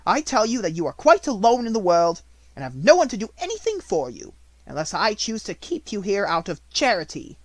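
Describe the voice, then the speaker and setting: A man voicing a cold, domineering, sharp-tempered headmistress in an audiobook story, scolding and commanding. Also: high-pitched, huge pitch swings, fairly quick, no echo, voice pushed up, heavily acted. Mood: angry, scornful.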